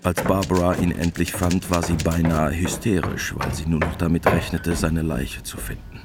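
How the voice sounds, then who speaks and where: Deep-voiced male radio drama narrator. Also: flat delivery, normal speed, very noisy. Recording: prominent music behind the voice.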